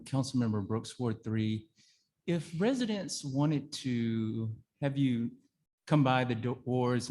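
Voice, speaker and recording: A man's voice: mid-range voice, a little mellow. A man serving as council member, asking a question in a friendly, casual way at a town meeting.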